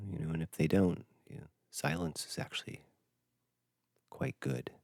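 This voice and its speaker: Male meditation guide, extremely low voice, gentle and halting, close dry sound. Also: wildly swooping pitch, creaky, no room sound. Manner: gentle, hushed, halting with long pauses. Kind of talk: unscripted dharma talk for guided meditation.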